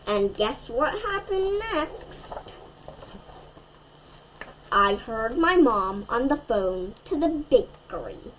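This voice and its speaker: Slow, high-voiced woman reading to children. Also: slight background noise, poor audio. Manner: expressive, asks the young listeners questions. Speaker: storyteller. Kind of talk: children's picture book read-aloud.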